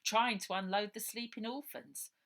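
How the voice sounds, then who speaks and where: A woman's voice: mid-range voice, steady tone, normal speed, a bit bright. A woman narrating a children's adventure story as its author, reading aloud.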